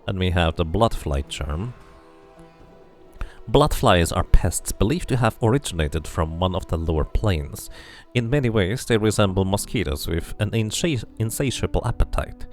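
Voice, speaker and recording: A man's voice: low voice, lively intonation, moderate pace, faint music behind. A man doing a casual game playthrough, commenting and reading the game's text aloud. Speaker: game streamer.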